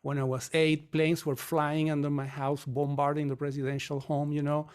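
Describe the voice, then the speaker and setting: A man in his fifties from Chile, answering podcast interview questions in a measured, reflective way. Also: fairly high pitch, little pitch movement, a little mellow, clean tone. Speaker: guest, mortgage company founder.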